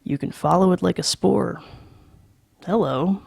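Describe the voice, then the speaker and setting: A woman reading aloud, voicing a middle-aged male psychologist who gives calm, frank advice. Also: on the low side, creaky, slightly rough, dry recording, clean studio sound, full character voice. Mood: wry. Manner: measured, frank advising.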